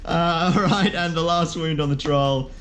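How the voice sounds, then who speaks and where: A man's voice: fairly high pitch, fairly even intonation, a little slow, fairly bright tone, slight background noise. A man giving casual, self-mocking play-by-play commentary as he hosts and plays a tabletop wargame. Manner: jokey, self-mocking, exclaiming at dice rolls. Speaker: player and host of a tabletop wargame video. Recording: music behind the voice.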